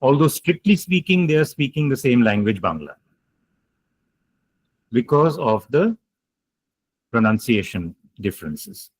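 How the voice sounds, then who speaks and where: A man's voice: medium pitch, sing-song, a little slow, long pauses, breathy edge, no room sound. A man giving an online lecture, explaining points emphatically, repeating key ideas and taking questions. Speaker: guest lecturer.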